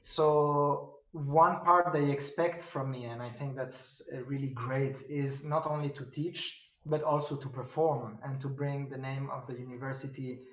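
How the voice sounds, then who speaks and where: A middle-aged man, a pianist and professor, answering interview questions in English, halting and thoughtful with many fillers and restarts. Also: mid-range voice, steady tone. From Spain. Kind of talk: video-call interview answers. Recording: roomy, distant, dull video-call audio.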